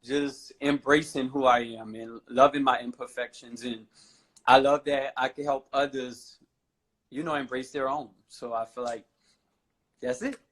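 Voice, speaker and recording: A young man in his twenties speaks at an ordinary mid pitch, casual and unhurried. His talk wanders and leans on fillers like "you know" as he answers questions on a talk show. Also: rough recording. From New Orleans, USA. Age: 20s. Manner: relaxed, rambling, many "I feel like" and "you know". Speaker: guest, fashion model.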